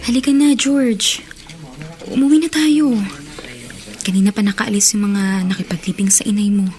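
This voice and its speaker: A woman acting as a gentle, reassuring companion in a Tagalog radio drama, with a soothing, persuasive delivery. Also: medium pitch, fairly even intonation, normal speed, faint music behind, close to an everyday voice. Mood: urging.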